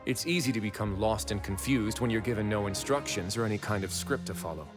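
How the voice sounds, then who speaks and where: A man playing a composed, shrewd duke of a prison fortress in an anime-style video game, speaking in a measured, deliberate way. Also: fairly low pitch, lightly acted. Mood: calm, analytical. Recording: music playing behind the voice.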